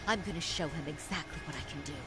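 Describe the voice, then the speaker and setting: A woman's voice: low-pitched, fast, loud music behind the voice, close to an everyday voice. An actress playing a resolute woman lawyer in a crime drama game, formal and precise in her delivery. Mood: indignant, resolute. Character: composed, steely woman lawyer with quiet indignation. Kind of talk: dramatic English game voice acting.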